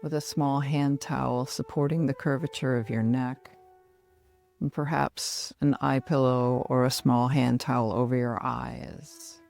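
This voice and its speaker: A middle-aged woman guiding a relaxation meditation in a soothing, measured manner with long pauses. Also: very deep voice, fairly even intonation, gravelly, darkish tone, faint music behind. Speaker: yoga nidra meditation guide.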